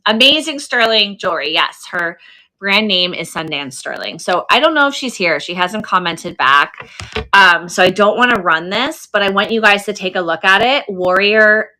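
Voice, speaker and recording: A woman's voice: mid-range voice, pushed and bright. A woman hosting a live online sale in casual chat, effusive and chatty, often repeating words for emphasis. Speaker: host of an online selling livestream.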